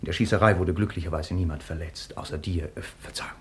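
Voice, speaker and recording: Very deep-voiced, earnest police investigator. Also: male voice, fairly even intonation, quick pace, a little hiss or hum. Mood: sober, restrained. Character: earnest male police investigator, protective and stoic. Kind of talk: 1950s German radio play acting.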